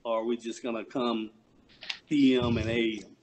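A man at a school board meeting on a video call, asking questions in a halting way. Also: medium pitch, little pitch movement, a little mellow, a little hiss or hum. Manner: slow, halting, tentative.

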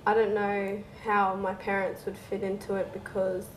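A woman's voice: medium pitch, completely flat delivery, normal speed, a little hiss or hum, rough recording. A young woman playing a patient in a staged clinic consultation, speaking hesitantly in short replies. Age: young adult. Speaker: patient in a role-play consultation. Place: small clinic consulting room, indoors.

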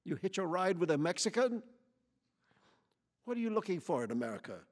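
A man's voice: fairly high pitch, lively intonation, unhurried, in a large hall. A man reading aloud and voicing a hostile, accusing young American woman who confronts strangers at a campground, with drawling slang.

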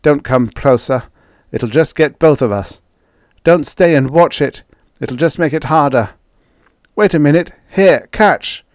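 Man acting a strained, high-pitched frightened space explorer. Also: moderate pace, darkish tone, no echo, clean studio sound, close to an everyday voice. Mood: desperate, resigned. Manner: strained, panting, emphatic. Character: male Mars explorer, frightened, weary and queasy.

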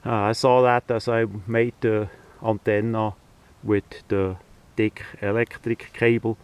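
Slow-talking man, non-native English speaker. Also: on the low side, level tone, clear, resonant tone. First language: not English (non-native speaker). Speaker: hobbyist video maker. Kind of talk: casual hands-on demonstration. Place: outdoors, beside a tarp on the ground.

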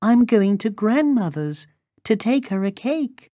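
A very high little girl's voice for a fairy-tale heroine. She is innocent, polite and wide-eyed, speaking slowly in an earnest sing-song with big swoops of pitch. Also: big pitch swings, warm tone, no room sound, studio quality. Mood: cheerful.

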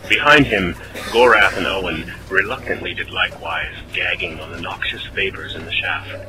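A man giving measured, dramatic scripted narration for a fantasy audio drama. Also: low voice, background noise. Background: orchestral game music.

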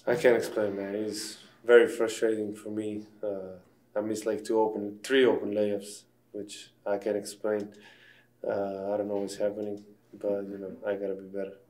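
A man's voice: fairly low pitch, monotone, moderate pace, a little mellow. A man in his twenties, a basketball player whose first language is Slovenian, giving short, plain, subdued answers after a game. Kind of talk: post-game press conference. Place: press room backdrop, indoors.

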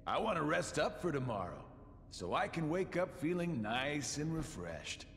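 A man's voice: fairly high pitch, big pitch swings, moderate pace, fairly bright tone, in a large hall. A man voicing a sly, mocking middle-aged schemer in a video game, with a teasing, theatrical drawl. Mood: sly, casual. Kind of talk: video game cutscene acting.

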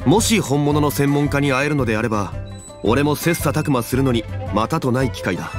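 A man plays a dignified, learned gentleman in an anime game. He speaks in a mid-pitched voice, measured and formal, with composed modesty. Music plays clearly behind him. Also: lively intonation, close to an everyday voice. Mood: gracious. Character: calm, dignified, scholarly gentleman, young-looking but old-fashioned. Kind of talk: Japanese anime game voice acting.

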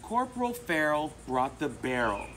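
A middle-aged American man with an expressive, emphatic delivery, presenting and reading a children's picture book aloud. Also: fairly high pitch, a little slow. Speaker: volunteer storybook reader. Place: outdoors among palm trees. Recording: outdoor recording with insect noise. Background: crickets and insects.

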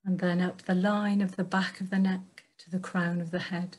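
A woman guiding a meditation in gentle, measured phrases with long pauses between them. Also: fairly low pitch, little pitch movement, breathy edge. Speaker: meditation teacher. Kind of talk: guided meditation.

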